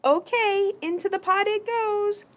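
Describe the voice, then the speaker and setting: A woman's voice: extremely high pitch, unhurried, a bit bright. A woman voicing curious, helpful village folk in a children's puppet show. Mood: eager, helpful.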